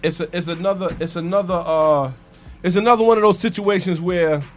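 A man's voice: high-pitched, a little fast, soft background music. A man co-hosting a casual sports talk show, emphatic and often restarting his sentences.

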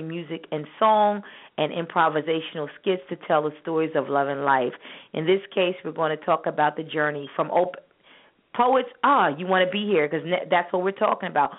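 A woman's voice: deep voice, moderate pace. A woman speaking earnestly and encouragingly as a regular guest on a talk show, over a phone line. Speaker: spoken word artist and producer, show regular. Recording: thin phone-line audio.

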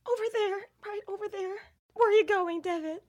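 A woman's voice: very high-pitched, brisk, a little mellow. A woman playing an anxious, timid young woman in a radio play, speaking hesitantly and fearfully. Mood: nervous, fearful.

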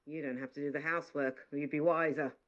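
A woman's voice: low voice, fairly even intonation, fast, close to an everyday voice. A woman voicing a good-natured, talkative maid who teases and scolds, in a dull lo-fi recording. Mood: triumphant. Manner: bossy, teasing, talkative.

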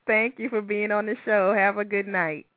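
A woman's voice: mid-range voice, fairly even intonation, moderate pace, slight background noise. A woman hosting a talk show, introducing guests and asking interview questions. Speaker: host. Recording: muffled, slightly lo-fi, dry audio.

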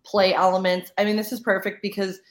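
A woman's voice: mid-range voice, flat delivery, brisk. A woman co-hosting a podcast, chatting casually and giving her reactions to the news. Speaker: podcast co-host.

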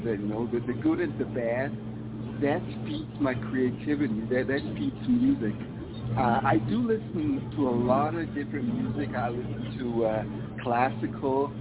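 A man from Switzerland, a musician answering interview questions in a reflective, hesitant way and often repeating words. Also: medium pitch. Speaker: musician guest. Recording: tinny phone line with noise.

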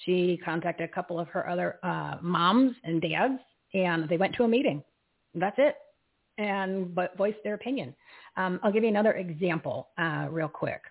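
Raspy woman on a phone line. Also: on the low side, moderate pace. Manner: rambling, loses her train of thought, laughs at herself. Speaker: radio show host. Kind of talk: casual live radio talk.

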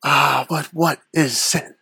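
Calm lord of darkness played by an actor: heavily husky, enigmatic, unhurried, dryly recorded, for audio comedy. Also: medium pitch, no room sound, studio quality, heavily acted. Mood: coolly probing. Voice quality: husky, breathy rasp. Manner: slow, measured, enigmatic pronouncements. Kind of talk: character interview in audio comedy.

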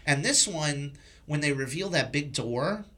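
A man's voice: fairly high pitch, lively intonation, unhurried, sharp tone. A man hosting a casual film discussion, speaking informally and emphatically with some swearing. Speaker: podcast host discussing a film.

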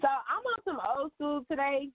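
A woman in her mid-twenties, a guest on a casual radio chat, playful and quick to defend herself. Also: sits high, sing-song. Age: 20s. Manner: playful, defensive, sings in bursts. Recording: phone-line call-in audio.

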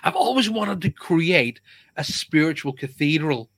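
A man's voice: a little high, very animated, measured pace, a bit bright, dry recording. A middle-aged man hosting a casual live show, chatty and laughing often. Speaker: show host.

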